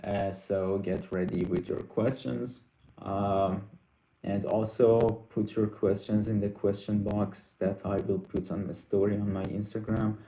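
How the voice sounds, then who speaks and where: A young man whose first language is Persian, hosting a casual live Q&A, reading out viewer questions and answering haltingly with many fillers. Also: on the low side, mellow, echoey room, poor audio.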